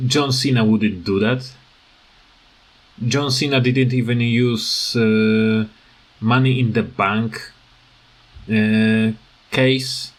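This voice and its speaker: A man giving casual, unscripted commentary on a video game, hesitant and halting as he searches for words and often stammers. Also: mid-range voice. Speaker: gaming video creator.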